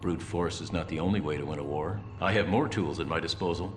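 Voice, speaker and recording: An actor playing a cold, calculating military colonel in a game cutscene, speaking with measured, controlled menace. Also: male voice, extremely low pitch, loud music behind the voice. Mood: cold, threatening. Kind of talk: dramatic game cutscene acting. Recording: roomy echo, clear background noise.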